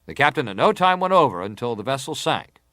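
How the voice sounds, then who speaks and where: A man acting as a senator at an inquiry, putting questions in a formal, deliberate and persistent manner. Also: medium pitch, very animated, pushed and bright, no room sound, clean studio sound. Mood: grave, probing. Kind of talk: dramatized reading of hearing testimony.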